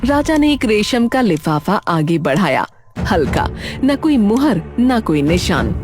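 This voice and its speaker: Slow, expressive woman storyteller. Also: mid-range voice. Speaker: narrator. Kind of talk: scripted storytelling narration. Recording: music behind the voice.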